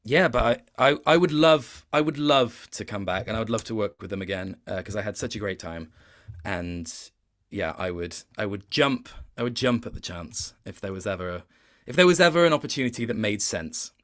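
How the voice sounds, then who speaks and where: A man speaking as an interview guest in a casual chat, thoughtful and halting, often reworking his sentences as he goes. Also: on the low side, lively intonation, fairly bright tone. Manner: thoughtful, halting, with many self-corrections.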